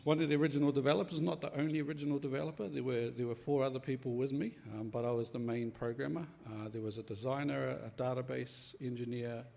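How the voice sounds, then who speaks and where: A middle-aged man from New Zealand speaks with a New Zealand accent, his voice gravelly and edged with fry. He presents a conference workshop in an easy, unhurried manner, often pausing on "um" and "uh". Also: medium pitch. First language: Māori. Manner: relaxed, friendly, with many ums and uhs. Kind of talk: conference talk.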